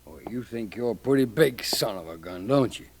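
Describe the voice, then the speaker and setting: An actor playing a hot-headed, boastful cowboy with a drawling rural Western American accent in an old-time radio Western. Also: male voice, fairly low pitch, expressive, a little fast. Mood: blustering, angry. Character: blustering, hot-headed Old West cowboy, theatrically self-pitying. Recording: old radio drama with background music.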